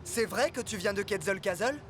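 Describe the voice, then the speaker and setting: Casting: male actor as earnest young cartoon athlete, high voice, eager and curious, clear music behind. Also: normal speed, close to an everyday voice. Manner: eager, wondering. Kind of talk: dubbed cartoon dialogue.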